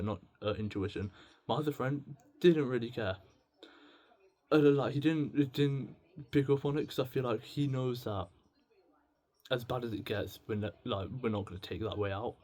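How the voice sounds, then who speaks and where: A British man talking in a casual, unscripted video diary, rambling and halting, with a self-deprecating manner. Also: medium pitch, a little mellow. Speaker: video diarist. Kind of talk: casual unscripted confessional monologue.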